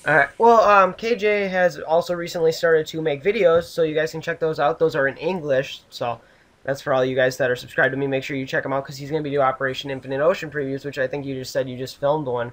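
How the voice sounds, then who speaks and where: A man's voice: on the high side, steady tone, quick pace, a bit bright. A man hosting a casual gaming video, rambling and upbeat as he tours a build with a friend. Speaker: channel host.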